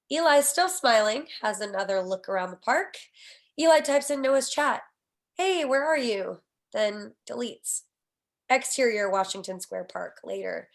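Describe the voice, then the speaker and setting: A woman reading the scene headings and action lines of a screenplay as the narrator at a table read. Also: a little high. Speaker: stage directions narrator.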